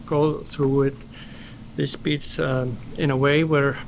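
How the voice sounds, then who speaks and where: A middle-aged man from Iceland with an Icelandic accent, a company founder reading a prepared speech in a formal, measured way at an indoor event. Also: medium pitch, level tone, vocal fry, a little hiss or hum. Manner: formal, measured delivery read from paper. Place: indoor event at a dairy plant.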